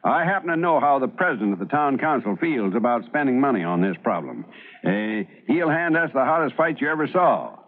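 Male actor as crusading small-town American editor, fiery and earnest, very animated pitch, muffled vintage radio sound. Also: fairly quick, lightly acted. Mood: determined. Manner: earnest, forceful, speechifying. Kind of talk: old-time radio acting.

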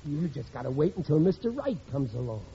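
An actor playing a soft-hearted, flustered working-class American married man in an old radio comedy, coaxing and pleading with stammers. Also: on the high side, big pitch swings, fairly quick, gravelly. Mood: reassuring, exasperated. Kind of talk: 1940s-50s radio comedy acting. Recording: dull, noisy old radio recording with audience.

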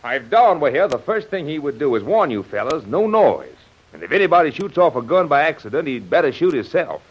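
Breezy, animated squad leader. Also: male voice, a little high, fairly quick, husky. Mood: commanding. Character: breezy, tough, good-humored male squad leader. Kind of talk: 1940s radio acting. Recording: dull old radio recording.

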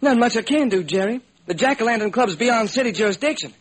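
A man's voice: extremely high pitch, lively intonation, quick pace, husky, voice pushed up, full character voice, poor audio. A man voicing a weary, exasperated American police lieutenant in an old-time radio crime drama. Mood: weary, resigned.

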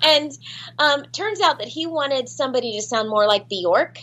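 A woman's voice: high-pitched, lively intonation, normal speed, sharp tone. Chatty woman telling stories. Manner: chatty, self-deprecating, many fillers and restarts. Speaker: voice actress guest. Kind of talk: casual interview storytelling.

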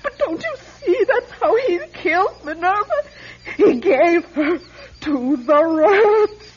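A shrill, excitable older busybody, lo-fi. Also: female voice, slow pace, slight background noise, full character voice. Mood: distraught, accusing. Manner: dramatic, scolding, accusatory. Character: nosy, indignant older female resident, excitable and accusing. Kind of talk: classic radio drama acting. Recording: dull lo-fi radio recording.

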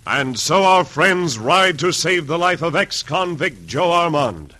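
A man's voice: fairly high pitch, very animated, measured pace. A man narrating an old-time radio serial as its announcer in a dramatic scripted style. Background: organ music.

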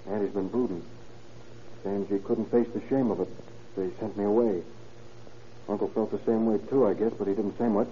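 An actor playing an anxious, talkative young murder suspect in an old radio crime drama, speaking in slangy, earnest pleas. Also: male voice, lowish voice, dark tone, natural voice. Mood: pleading, confiding. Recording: muffled, noisy vintage radio recording.

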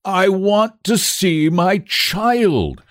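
A man voicing an excitable, stammering gentleman, a new father at his wits' end, in a storybook reading. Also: lowish voice, unhurried, no echo, clean studio sound. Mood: desperate. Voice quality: hoarse when agitated. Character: frantic, appalled new father, a respectable gentleman.

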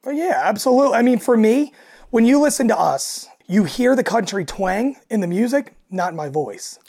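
Raspy young male musician from Delaware, animated guest who stumbles and restarts. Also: high voice, moderate pace. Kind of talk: casual interview chat.

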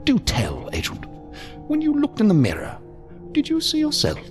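A silky, pompous ambassador of a secret power in a sci-fi audio drama. The voice swoops dramatically from low to high, savoring each ornate phrase with mocking courtesy and condescension, over a music bed. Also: male voice, huge pitch swings, moderate pace, heavily acted. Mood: sly, teasing. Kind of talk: science fiction audio drama acting.

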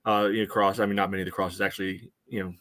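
Lower-pitched man, casual soccer talk. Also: little pitch movement, very fast, a bit bright, no room sound, clean studio sound. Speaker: sports podcast co-host. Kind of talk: casual soccer match analysis chat.

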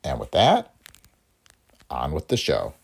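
A man's voice: a bass voice, huge pitch swings, slow pace, gravelly, husky. A man reading a scripted podcast introduction as its host.

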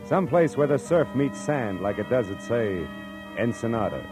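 An actor playing a wry, world-weary private eye, slangy and terse, in 1940s radio style. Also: male voice, medium pitch, very animated, normal speed, over music, lightly acted. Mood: wry narration. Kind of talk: 1940s radio acting, first-person narration.

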